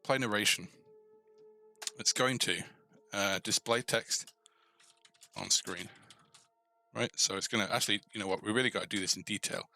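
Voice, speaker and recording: Low, bright-voiced man thinking aloud while coding. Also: gravelly, faint music behind. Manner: informal, tentative, pauses mid-thought as he works. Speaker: host of a coding tutorial stream. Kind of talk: casual live coding walkthrough, thinking aloud.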